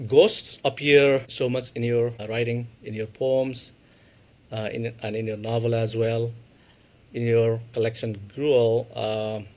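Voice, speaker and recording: Engaged male podcast host with "uh" fillers. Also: medium pitch. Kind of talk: interview questions, reading a poem aloud.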